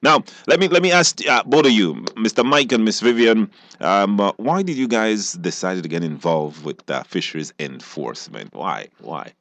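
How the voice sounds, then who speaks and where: A male host with a deep voice. His pitch swings widely as he puts informal questions, repeating phrases for emphasis. The recording is dry and close. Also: lively intonation, normal speed, few pauses, a bit bright, no echo. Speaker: radio show host, interviewer. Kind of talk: informal interview.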